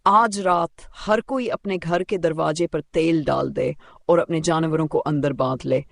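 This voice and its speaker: A man voicing a cunning, quietly confident old village woman in a storybook tale, with a knowing, measured manner. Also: on the high side, fairly even intonation, gravelly, no echo.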